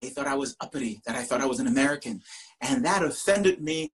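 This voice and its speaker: A Spanish-speaking man from Puerto Rico with a Puerto Rican accent, telling a personal story to an audience with earnest, dramatic pauses. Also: mid-range voice, expressive, slightly rough, rough recording. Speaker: professor and poet.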